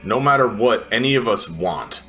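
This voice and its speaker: A man playing a blunt, confrontational female adventurer in a tabletop game, speaking firmly and deliberately. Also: medium pitch, lively intonation, a bit bright, soft background music, pitched above the performer's own voice, lightly acted. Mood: firm, threatening.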